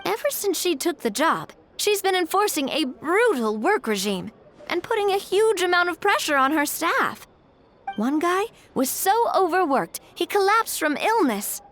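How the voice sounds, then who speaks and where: A woman's voice: very high-pitched, sing-song, moderate pace, fairly bright tone, faint music behind, full character voice. An actress playing an earnest, principled young woman in a video game, with a frank, conversational manner. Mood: weary, explaining.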